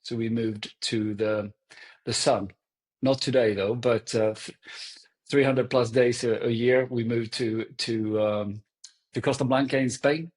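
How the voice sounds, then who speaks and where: A man from Sweden with a Swedish accent and Swedish as his first language, telling his story in a halting, thoughtful way as a podcast guest. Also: medium pitch, fairly even intonation. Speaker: guest, event company CEO. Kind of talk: podcast interview.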